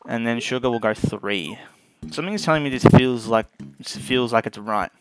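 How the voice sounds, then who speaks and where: A man's voice: medium pitch, normal speed, very noisy. A man giving casual let's play commentary on a video game as its host. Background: game music.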